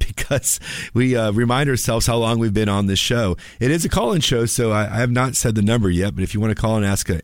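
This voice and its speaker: Slightly raspy, low-voiced man hosting radio talk. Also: no echo, studio quality. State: a little under the weather with allergies. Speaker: attorney hosting a live radio call-in show. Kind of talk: casual live radio chat. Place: radio studio.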